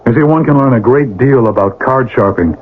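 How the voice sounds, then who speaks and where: An actor playing an earnest, righteous older brother, theatrical and formal in an old-time radio drama. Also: male voice, mid-range voice, a little fast, slightly rough, close to an everyday voice. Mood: pointedly polite. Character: earnest, righteous older brother, a pleading moralist, narrating his past. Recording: noisy, tinny vintage radio recording.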